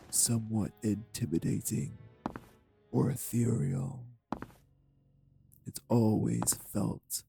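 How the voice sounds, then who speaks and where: A man playing a cold, manipulative vampire villain in an audio roleplay, speaking with deliberate, condescending menace in a husky, gravelly voice. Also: mid-range voice, mellow. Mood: cold, insinuating. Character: cold, manipulative male vampire taunting his captive. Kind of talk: dramatic audio roleplay acting.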